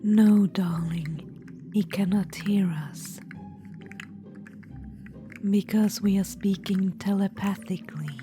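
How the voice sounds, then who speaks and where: A woman voicing a scheming undead witch-queen in a whispered audio roleplay, confiding and fond. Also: fairly low pitch. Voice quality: soft whisper. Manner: confiding, fond, slyly amused. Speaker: ASMR roleplay performer. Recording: music underneath. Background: background music.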